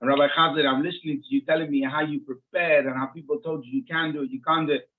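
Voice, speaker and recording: A man's voice: a little high, steady tone, quick pace. A man from Israel, a rabbi and interview guest, telling stories conversationally, often repeating and rephrasing as he explains. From Israel, born and raised in Jerusalem. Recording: muffled phone-like narrowband audio.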